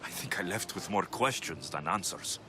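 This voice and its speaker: An actor playing a young Spartan mercenary in a video game, earnest, wry and battle-hardened. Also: male voice, low voice, animated, moderate pace, background noise. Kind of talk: dramatic video game cutscene acting.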